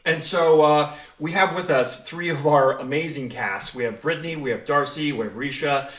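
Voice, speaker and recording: A man hosting a podcast as writer and director, asking questions in a halting, informal way. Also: fairly high pitch, a bit bright, distant mic. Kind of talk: conversational interviewing. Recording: echoey room, slightly off mic.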